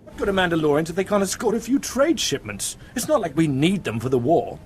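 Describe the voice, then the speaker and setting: A man's voice: a little high, very animated, quick pace. An actor playing a jealous, nagging male companion of a socialite in a video game. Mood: grumbling. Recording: faint background noise.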